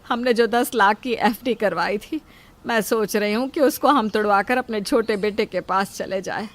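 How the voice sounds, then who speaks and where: A woman's voice: mid-range voice, moderate pace, natural voice. A woman voicing a worried, self-sacrificing elderly mother in an emotional, pleading way for a moral story. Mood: worried, weary.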